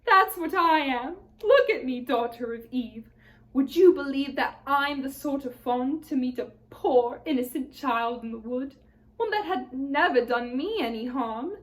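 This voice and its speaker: A high, tearful male fawn acted by a woman from a children's storybook, anxious and full of guilt. He wails and sobs, drawing his words out slowly as he blames himself. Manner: sobbing, wailing, drawn-out words. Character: weepy, guilt-ridden male fawn, anxious and self-reproaching.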